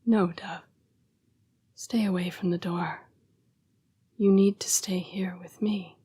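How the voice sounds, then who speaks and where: A woman performs a remorseful female vampire battling bloodlust in an intimate audio roleplay. Her voice is extremely breathy and often whispered, slow and formally worded, in a close, dry recording. She is protective yet predatory. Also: lowish voice, fairly even intonation, no room sound, heavily acted. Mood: grave, protective. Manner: formal, halting, hushed delivery. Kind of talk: intimate scripted audio roleplay addressed to the listener.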